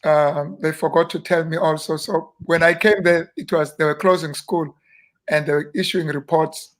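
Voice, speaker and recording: A South African man in his fifties, a minister, reminiscing in an unhurried, rambling interview with many fillers and restarts. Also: fairly high pitch, steady tone, a bit bright. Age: 50s. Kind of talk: relaxed reminiscing interview talk.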